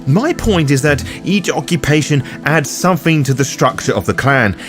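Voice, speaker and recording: Male host for scripted roleplay lore guide; animated intonation, theatrical wording, music underneath. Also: medium pitch. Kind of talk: scripted explainer narration.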